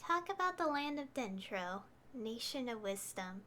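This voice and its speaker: A woman's voice: fairly high pitch, big pitch swings, a little slow, bright, forceful tone, slight background noise. A woman streaming a video game, casually commenting and reading choices out. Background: music.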